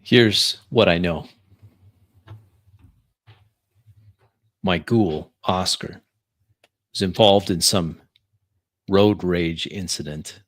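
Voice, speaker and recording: A man voices a vampire in a tabletop roleplay, humbly addressing his superior. His voice is deep and closely recorded. He is halting, deferential and quick to apologize. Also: fairly bright tone, dry recording, full character voice. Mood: grave, urgent. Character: deferential vampire pleading with a powerful sheriff.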